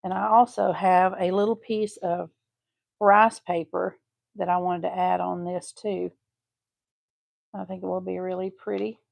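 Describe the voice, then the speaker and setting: A woman's voice: fairly low pitch, little pitch movement, measured pace, creaky, long pauses, a little mellow. A woman with a Southeast Texas accent hosting a live craft stream, chatting homely with viewers as she paints. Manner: chatty, homey, talks to viewers while painting. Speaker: craft channel host.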